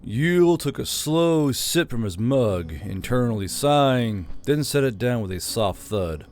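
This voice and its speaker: A man narrating a fantasy novel in a steady storytelling manner, with dry, flat touches. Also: mid-range voice, a little slow. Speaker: audiobook narrator.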